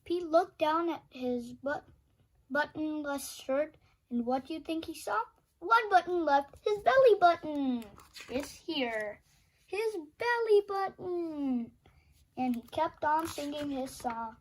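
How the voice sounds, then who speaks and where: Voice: high-pitched, animated, slow pace. A young reader playfully reading a children's picture book aloud and putting questions to the listener. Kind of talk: children's storybook read-aloud with sung refrains.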